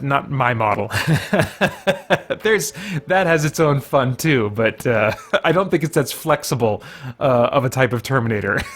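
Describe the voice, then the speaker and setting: A man's voice: medium pitch, a bit bright. A man hosting a casual let's play, thinking aloud and rambling as he goes. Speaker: gaming video host.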